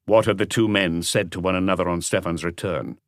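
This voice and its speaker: A man reading a crime novel as an audiobook, in measured, articulate scripted narration. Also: sits low, fairly even intonation, no echo, clean studio sound.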